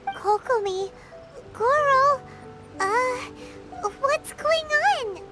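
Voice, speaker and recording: A woman voicing a tiny, chirpy, curious fairy companion with a squeaky childlike voice in a video game. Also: animated, extremely slow, over music, exaggerated voice. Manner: speaks of self in third person, exclaiming. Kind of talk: cartoonish video game voice acting.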